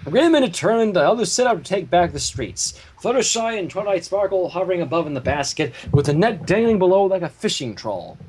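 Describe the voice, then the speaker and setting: Animated man narrating, slightly husky. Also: high voice, fairly quick, few pauses, poor audio. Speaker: fan fiction reader. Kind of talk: animated story narration with casual asides.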